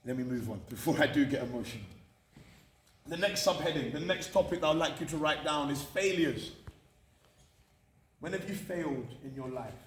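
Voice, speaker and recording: A man's voice: on the high side, expressive, moderate pace, long pauses. A British man giving a candid, emphatic motivational talk to students, repeating phrases for effect. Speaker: motivational speaker at a college. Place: indoor room at a college. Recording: echoey room from far off, faint noise.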